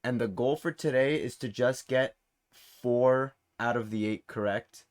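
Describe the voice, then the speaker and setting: A man's voice: mid-range voice, a little slow. A man hosting a video game trivia quiz in casual chat, informally reading questions and answer options aloud. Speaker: quiz host.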